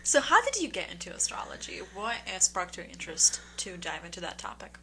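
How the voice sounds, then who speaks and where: A woman hosting a podcast interview in a casual, friendly chat, laughing often and answering with quick encouragement. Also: lowish voice, sing-song, sharp tone, a little hiss or hum, rough recording. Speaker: podcast host.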